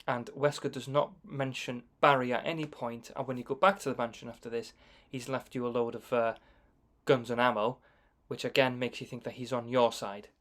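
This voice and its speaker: A man's voice: mid-range voice, fairly bright tone. A man giving conversational, informative commentary that explains video game scenes.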